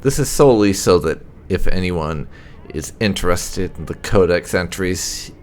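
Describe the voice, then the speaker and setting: A man's voice: low-pitched, expressive, a little slow, darkish tone. A man hosting a gaming channel, reading lore aloud as narration.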